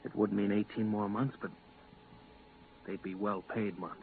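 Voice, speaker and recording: A man's voice: on the low side, little pitch movement, measured pace, vocal fry. An actor playing an earnest, homesick space crewman in a 1950s radio drama. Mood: pleading. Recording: noisy, narrow-band 1950s radio recording.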